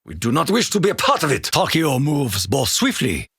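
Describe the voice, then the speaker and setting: An actor voicing a solemn, proud Japanese samurai warrior for a video game, with a raspy voice, a Japanese accent and formal, grave phrasing. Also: male voice, fairly high pitch, wildly swooping pitch, normal speed, fairly bright tone, no room sound, clean studio sound, heavily acted. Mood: urgent, fierce. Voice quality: raspy, gruff. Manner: formal, grave, proverb-like phrasing with dramatic emphasis. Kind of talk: video game character voice lines.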